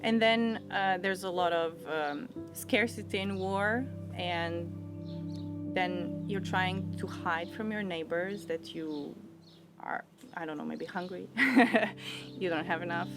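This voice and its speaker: A woman's voice: fairly low pitch, takes it slowly. Reflective Serbian woman over music. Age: 30s. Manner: reflective, thoughtful, with frequent restarts and soft laughs. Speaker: interviewee. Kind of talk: personal storytelling.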